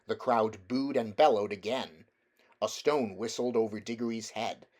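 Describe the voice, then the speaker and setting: A man's voice: lowish voice, fairly even intonation, moderate pace, a little mellow. A man reading a children's fantasy novel aloud as scripted storybook narration. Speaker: amateur audiobook reader.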